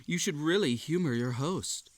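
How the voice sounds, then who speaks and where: A man's voice: a little high, animated, normal speed, no echo, lightly acted. A man playing an unhinged, possessive bunny hosting a sinister tea party in a roleplay audio drama, coaxing and falsely polite. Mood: needy, coaxing. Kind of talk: scripted roleplay audio drama addressed to the listener.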